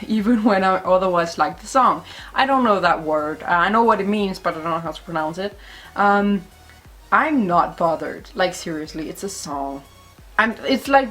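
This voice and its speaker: Danish girl vlogging, blunt and chatty, lots of ums and laughs, middle pitch. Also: a bit bright. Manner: blunt, chatty, laughs often, swears, many fillers. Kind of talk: casual talking-to-camera vlog.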